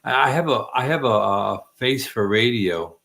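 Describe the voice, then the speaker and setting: A man's voice: mid-range voice, normal speed, gravelly, fairly bright tone. A middle-aged man hosting a casual late-night chat, rambling with many restarts and self-deprecating jokes. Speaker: host.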